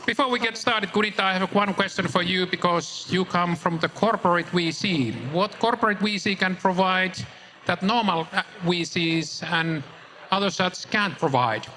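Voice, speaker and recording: Man, event host, unusually high pitch, bright tone, informal, faint stage noise. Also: moderate pace. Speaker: event host introducing panel. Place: conference main stage.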